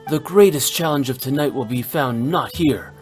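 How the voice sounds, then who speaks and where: A man voicing a composed, scholarly gentleman in a video game, measured and formal. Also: mid-range voice, faint music behind, natural voice.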